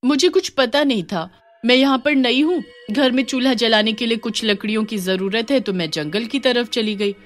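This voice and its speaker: A woman's voice: on the high side, moderate pace, soft background music, close to an everyday voice. A woman playing an innocent-seeming, timid village newcomer in a horror story. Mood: feigned innocence. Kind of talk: animated horror story dialogue.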